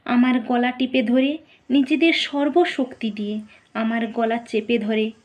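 The ghost of a murdered young woman doctor, voiced by a woman, grieves and pleads with her mother. Her voice sits high and moves slowly and tearfully, often sinking into a whisper. It is a dramatic first-person monologue. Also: flat delivery, dull audio, natural voice. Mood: grieving. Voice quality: slow, tearful, breaking into whispers.

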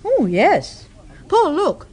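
A woman's voice: high-pitched, wildly swooping pitch, very slow, a little mellow, heavily acted. An actor, a woman, playing a wry, teasing British detective's wife in a radio drama. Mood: attentive.

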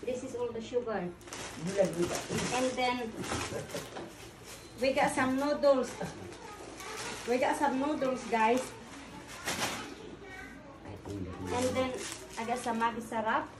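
A woman's voice: on the high side, very animated, slow, long pauses. Chatty Filipino woman in echoey room. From Philippines. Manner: chatty, says "guys" constantly, simple loose phrasing. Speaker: vlogger. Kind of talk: casual haul vlog. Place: small pink-walled room indoors. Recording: echoey room, distant mic, noisy.

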